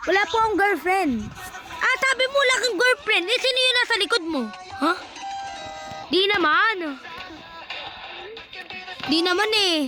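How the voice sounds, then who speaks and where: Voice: very high voice, expressive, measured pace, pauses often. An exclamatory, slangy voice acting out an improvised horror skit as a series of phone calls, with music behind.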